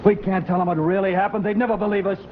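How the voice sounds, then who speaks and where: A man's voice: high-pitched, level tone, rapid-fire, lightly acted. An actor playing a panicked but calculating man, urgent and insistent, in a vintage broadcast thriller. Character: panicked, calculating man urging a cover story. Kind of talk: 1950s broadcast thriller acting. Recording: muffled, slightly noisy vintage broadcast.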